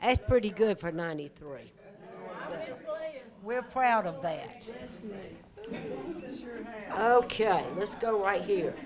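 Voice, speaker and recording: A woman's voice: mid-range voice, lively intonation, slow pace, long pauses, a crowd in the background. An older woman, hosting a party with a handheld mic in a community hall, chatty and teasing. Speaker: event host. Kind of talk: casual emcee chat. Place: large community hall indoors.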